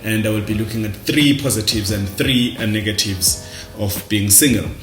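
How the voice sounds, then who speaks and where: Male vlogger, South African, low register, casual and halting delivery, roomy echo with music underneath. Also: fairly bright tone. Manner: halting, repeats words. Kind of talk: casual reflective talk to camera. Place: indoors, dim room at night.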